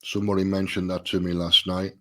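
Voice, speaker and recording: A middle-aged man with a stuffy nose, sounding a bit nasal and lower than usual. He hosts a solo live stream in a relaxed, rambling way, restarting his sentences as he thinks aloud. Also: a little fast. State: stuffy nose from a sinus problem.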